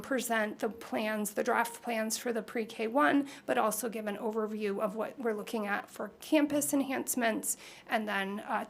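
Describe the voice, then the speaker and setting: Gravelly woman presenting at a board meeting. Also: fairly high pitch. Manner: halting, with many fillers. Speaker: school board member. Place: public meeting room.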